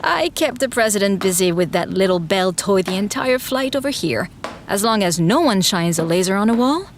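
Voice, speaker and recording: A woman's voice: medium pitch, lively intonation, moderate pace, a little hiss or hum, lightly acted. A woman playing a skeptical, dryly teasing space traveller in a sci-fi comedy audio drama. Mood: wry, musing.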